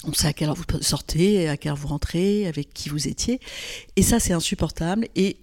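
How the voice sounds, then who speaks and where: A woman's voice: low voice, fairly quick, a little mellow. A woman in her sixties from Lebanon, a podcast guest recalling her past in a thoughtful way, often hesitating and repeating words. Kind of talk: podcast interview, personal recollection.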